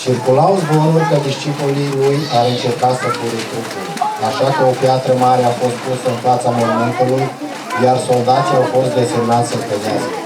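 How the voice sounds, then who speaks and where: A man playing a sober Roman soldier troubled by doubt, giving measured scripted testimony in a puppet show. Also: mid-range voice, steady tone, over a noisy crowd, close to an everyday voice. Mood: solemn. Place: echoey live puppet show venue. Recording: echoey, distant, very noisy live recording.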